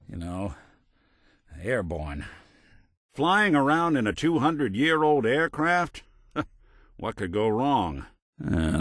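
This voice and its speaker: Hardboiled robot private eye for a game: low, unhurried, dryly wisecracking, kind underneath. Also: male voice, no echo, exaggerated voice. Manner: dry, wisecracking, old-fashioned gumshoe slang. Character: world-weary wry synth detective, male, hardboiled but kind. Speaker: video game voice actor. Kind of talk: game character voice lines.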